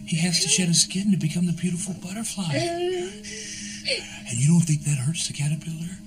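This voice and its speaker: An actress playing a creepy, soothing woman who hushes and coos at a captive child, calling her honey. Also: on the low side, big pitch swings, brisk. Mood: cooing. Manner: hushing, cooing, repeats "honey". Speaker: maternal captor. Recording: film audio from a distance, music behind.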